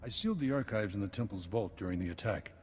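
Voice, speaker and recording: A man's voice: lowish voice, lively intonation, darkish tone, long reverb tail, soft background music, natural voice. A man playing a solemn Jedi master. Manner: measured, solemn. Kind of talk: video game cutscene acting.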